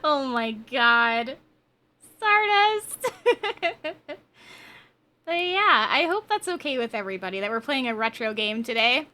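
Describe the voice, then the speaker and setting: Bright, high-voiced young woman streamer. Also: animated, slow, pauses often. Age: 20s. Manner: giggly, chatty, bubbly. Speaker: game streamer. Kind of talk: casual livestream chat with viewers.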